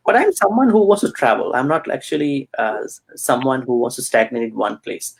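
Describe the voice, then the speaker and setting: A man from Sri Lanka, a Buddhist monk, answering interview questions with many pauses and fillers. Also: mid-range voice, sing-song, creaky, slightly rough.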